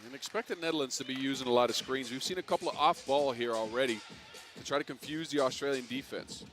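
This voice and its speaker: A man giving color commentary on a basketball game, relaxed and analytical with some dry humor. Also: medium pitch, fast talker. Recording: music playing behind the commentary.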